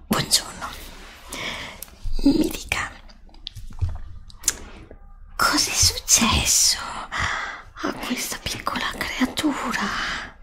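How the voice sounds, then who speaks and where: A woman whispering slowly and soothingly close to the mic in an ASMR roleplay, repeating gentle reassurances as a dentist. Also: mid-range voice. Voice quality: breathy whisper. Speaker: ASMR creator role-playing a dentist. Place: indoors at a desk before a green screen. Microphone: Blue Yeti desktop microphone, close up.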